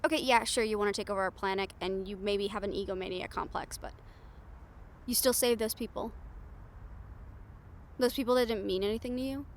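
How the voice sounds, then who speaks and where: A woman's voice: medium pitch, a little fast, pauses often, slight background noise, lightly acted. A woman playing a bold, sarcastic, foul-mouthed reporter in an improvised audio roleplay, speaking in a candid, conversational way. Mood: earnest, persuasive. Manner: conversational, exasperated, candid, with sighs and swearing.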